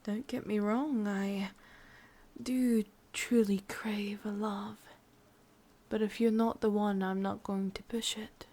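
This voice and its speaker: Casting: actress as possessive yandere witch for ASMR roleplay, English accent, breathy and husky, unhurried, quietly menacing. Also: medium pitch, little pitch movement. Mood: coaxing, controlling. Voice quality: breathy whisper. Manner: slow, deliberate, softly menacing. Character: sadistic, lovelorn, ancient yandere witch, commanding and possessive.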